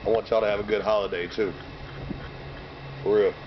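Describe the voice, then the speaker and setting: A man in his thirties with a hoarse voice, rambling through casual slang-heavy shout-outs outdoors on a cold day, recorded by a camera a few meters away. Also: lowish voice, little pitch movement, fairly quick. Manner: loose slang-heavy shout-outs, drinking between lines. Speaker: vlogger. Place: outdoors by bare trees and fields on a cold sunny day. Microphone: camera mic from a few meters away. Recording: dull lo-fi camera audio with outdoor noise.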